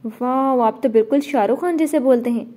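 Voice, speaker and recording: An earnest young woman who protests and objects sweetly, as a woman reading a novel voices her. Her voice is mellow and somewhat high. It is a simple storybook reading. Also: brisk.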